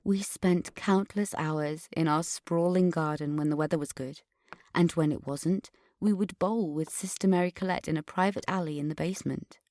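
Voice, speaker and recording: Casting: actress to play privileged, defensive woman for podcast drama, slightly low voice, formal precise diction, pompous. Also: moderate pace, darkish tone, dry recording, clean studio sound, close to an everyday voice. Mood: wistful, proud. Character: haughty, defensive upper-class adult alumna named Katrina. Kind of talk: dramatic monologue in a fiction podcast.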